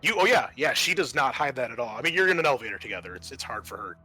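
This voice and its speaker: A man's voice: medium pitch, sing-song, rapid-fire, bright, forceful tone, slightly rough, faint music behind. A man narrating an improvised tabletop game as game master, hesitating as he searches for words.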